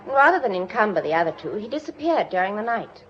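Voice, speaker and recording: A woman's voice: mid-range voice, big pitch swings, quick pace, natural voice. A British actress playing a well-bred, anxious young woman in a radio drama, with polite, understated speech. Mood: troubled. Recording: muffled radio drama sound.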